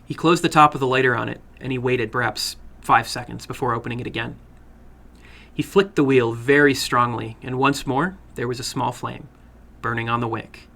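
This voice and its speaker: A man narrating a horror story dramatically, in a deliberate, suspenseful manner. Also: mid-range voice, fairly even intonation, gravelly, sharp tone. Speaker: horror fiction reader and host.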